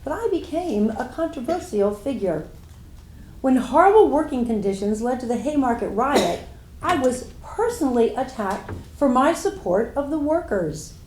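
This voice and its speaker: A woman playing a proud, earnest female social reformer, delivering a scripted first-person monologue in deliberate, declamatory phrases. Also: sits high, expressive, darkish tone, a little hiss or hum. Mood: grave. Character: Jane Addams, earnest, proud woman social reformer recounting her life. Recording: echoey room, live stage reading.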